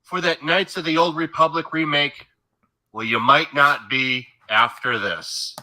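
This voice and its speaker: A man gives gaming news commentary from a script, measured and steady. He sounds congested from a cold. His voice is very bright and sharp, and it sits a little high. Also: rough recording.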